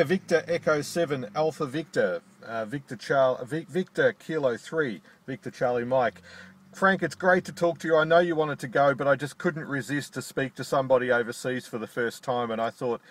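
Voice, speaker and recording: A man's voice: medium pitch, normal speed. An Australian man making an amateur radio contact from his car in a park, talking in radio procedure with call signs and "over", friendly and delighted.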